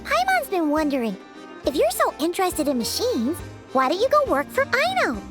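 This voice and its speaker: A small, childlike fairy companion from a video game. The voice is extremely high and swings up and down with cheerful, exclamatory energy. Music plays clearly underneath. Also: female voice, wildly swooping pitch, normal speed, full character voice. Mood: chatty. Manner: perky, exclamatory, speaks of self in third person.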